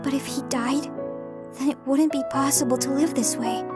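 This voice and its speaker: A woman's voice: high-pitched, steady tone, normal speed, natural voice. A woman playing a guarded, cynical runaway girl in an anime fan dub, blunt and distrustful. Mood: defensive. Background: music.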